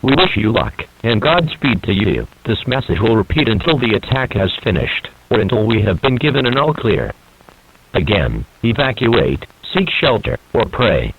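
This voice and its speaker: A man's voice: medium pitch, big pitch swings, normal speed, vocal fry. A man reading a scripted emergency broadcast alert as an announcer, in a formal, solemn manner. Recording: noisy, muffled band-limited radio broadcast.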